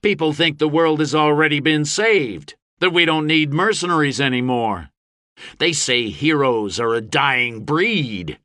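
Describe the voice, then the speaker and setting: A man's voice: fairly high pitch, expressive, a little slow, no room sound, clean studio sound, pitched above the performer's own voice. A man voicing a rousing fantasy mercenary leader in an audiobook, giving an impassioned, declamatory speech to an army before battle. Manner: impassioned, declamatory, shouting oratory. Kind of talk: audiobook fantasy character acting.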